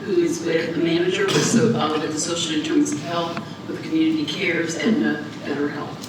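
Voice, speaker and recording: Moderator in echoey, noisy hall. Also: female voice, deep voice, flat delivery. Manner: warm-up, slow, repeats herself. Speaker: panel moderator. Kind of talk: moderating a panel. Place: conference hall. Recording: echoey hall, distant, background noise.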